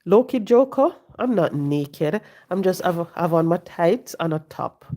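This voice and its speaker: A woman, hoarse and congested from the flu, talking on a casual live stream, preaching and repeating her points to viewers from home. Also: fairly low pitch, lively intonation, a little slow, dark tone. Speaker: livestream host. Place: home, sitting.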